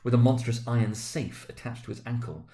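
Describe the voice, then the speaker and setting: Male storyteller, medium pitch, dramatic scripted narration, classic literary reading. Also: brisk, mellow.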